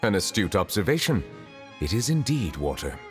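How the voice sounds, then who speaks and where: An actor playing a reserved, dignified dragon in human form who serves as a chief justice in a video game, speaking formally and pensively. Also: male voice, sits low, sing-song, normal speed. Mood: composed, formal. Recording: long reverb, faint music behind.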